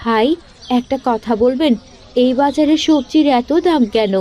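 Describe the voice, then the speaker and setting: A woman plays a kind, hard-up village mother in an animated folk tale. She sounds determined, speaking as a grown woman in a cartoon drama. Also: high-pitched, measured pace, slight background noise. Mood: curious. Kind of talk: cartoon story acting.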